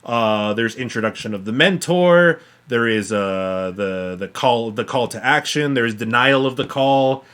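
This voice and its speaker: Mid-pitched man explaining in halting casual chat. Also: lively intonation, a bit bright, clean tone. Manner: halting, repeatedly rephrasing as he explains. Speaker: podcast co-host reviewing films.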